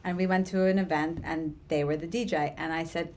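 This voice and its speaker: Blunt woman event planner, low voice. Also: fairly even intonation, fairly quick, a little mellow. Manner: blunt, swears, emphatic. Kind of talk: casual podcast chat.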